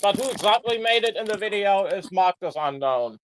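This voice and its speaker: A man's voice: high voice, animated, moderate pace, background noise. A man hosting a casual livestream, joking and rambling with plenty of fillers. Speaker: livestream host.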